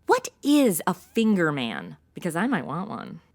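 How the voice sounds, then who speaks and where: A woman's voice: on the low side, wildly swooping pitch, a little slow, a little mellow, exaggerated voice. A woman playing a brash, self-absorbed, sarcastic young American in an audio comedy drama. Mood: snarky. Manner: sassy, snarky.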